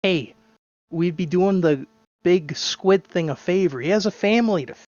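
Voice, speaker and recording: A player in a tabletop game, speaking in a sly, deadpan way with dark humor. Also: medium pitch, normal speed, no echo. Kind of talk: in-character tabletop roleplay. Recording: dull dry lo-fi mic.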